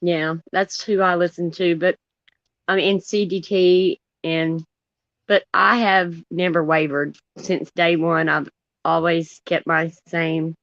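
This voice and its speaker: A chatty woman calls into a live chat and gives her opinions plainly. Her voice sits a little low, and her audio is dry and dull, like a lo-fi call. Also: flat delivery, slow, fairly bright tone, no room sound. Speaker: guest caller. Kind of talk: casual chat.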